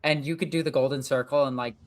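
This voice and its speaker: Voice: medium pitch, fairly even intonation, fast talker. A young adult gaming streamer chatting casually and rambling while playing a strategy game, swearing now and then.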